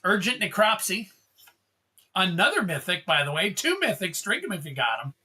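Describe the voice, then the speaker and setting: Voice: mid-range voice, very animated, normal speed, sharp tone, slightly rough. A livestream host opening card packs, chatting casually, calling out card names and thanking viewers in a rambling way.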